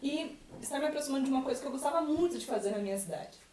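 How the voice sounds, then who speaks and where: A woman's voice: high-pitched, lively intonation, racing. A Brazilian woman chatting casually in a group as a member of a student theatre troupe. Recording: roomy, distant mic, faint background noise.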